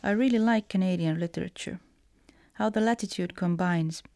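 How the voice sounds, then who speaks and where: A woman's voice: lowish voice, moderate pace, warm tone, no room sound, clean studio sound. A woman from Finland with a Finnish accent, a writer reading personal letters aloud.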